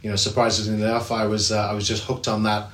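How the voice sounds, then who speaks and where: English man, real estate agent guest, low voice, chatty with lots of fillers, roomy echo. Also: little pitch movement, fast, a bit bright. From England. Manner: chatty, many fillers and restarts. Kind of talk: podcast interview.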